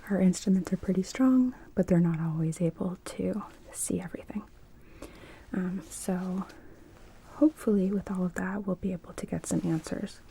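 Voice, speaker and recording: A woman whispering softly and breathily in an ASMR medical roleplay as an optometrist, explaining things gently and without hurry. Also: fairly low pitch, moderate pace, gravelly, slight background noise.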